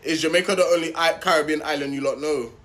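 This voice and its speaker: A man's voice: a little high, steady tone, fast talker, a bit bright. A man ranting in a fed-up tone, recorded abroad. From United Kingdom. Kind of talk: casual vlog rant.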